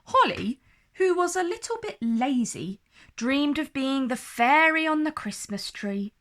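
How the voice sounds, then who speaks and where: Slow, high-voiced woman reading a children's story. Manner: expressive, deliberate storytelling for children. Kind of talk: scripted storybook narration read aloud.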